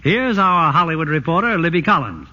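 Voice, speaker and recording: A man announcing in a smooth, formal scripted style on an old radio broadcast. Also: a little high, big pitch swings, fairly quick, pushed and bright, lo-fi sound.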